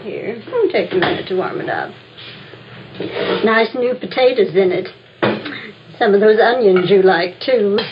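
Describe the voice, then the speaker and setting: A woman's voice: mid-range voice, unhurried, pauses often, close to an everyday voice. Actress as a fussing older American mother, vintage radio. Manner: fussing, nagging, homely. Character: fussing, doting older mother of a police detective. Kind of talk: 1950s radio drama acting. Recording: muffled, noisy old radio recording.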